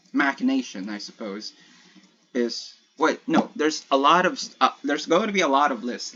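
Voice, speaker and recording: Young man, first language Ilocano, mid-pitched, unscripted gaming talk full of false starts and corrections, slightly muffled recording. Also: fairly bright tone. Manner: hesitant, many restarts and self-corrections, laughs at himself. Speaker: solo podcaster playing a block-building game. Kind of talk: casual rambling commentary while gaming.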